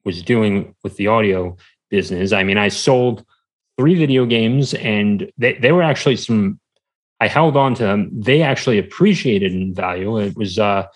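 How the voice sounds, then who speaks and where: Halting, rambling man as podcast guest. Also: on the low side, rough recording. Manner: halting, rambling, repeats words as he goes. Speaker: podcast guest, small-business entrepreneur. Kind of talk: casual interview chat.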